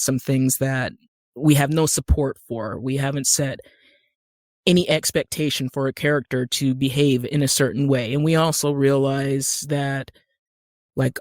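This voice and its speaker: A woman with an American accent, talking as an interview guest in a conversational, animated storytelling way that acts out bits of dialogue. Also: a bass voice, no room sound, clean studio sound. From Utah, United States. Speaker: guest, actor and screenwriter.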